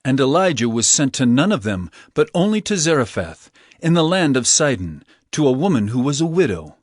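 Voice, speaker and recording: Solemn preacher, played by a man: authoritative, declamatory, wide pitch movement, dry close sound. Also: sing-song, no room sound. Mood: solemn, earnest. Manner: declamatory, measured, earnest. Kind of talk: dramatized scripture reading.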